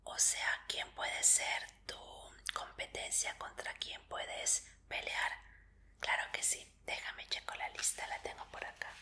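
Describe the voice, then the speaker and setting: A woman doing an ASMR roleplay in a breathy whisper, gently reassuring the listener as an examiner would. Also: slow pace. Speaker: ASMR roleplayer playing a martial arts tournament examiner.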